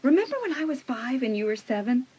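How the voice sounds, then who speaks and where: A woman playing a taunting, menacing teenage younger sister in a dramatised audiobook, with a breathy, husky voice. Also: high voice, lively intonation, fast, dark tone. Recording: slightly dull sound.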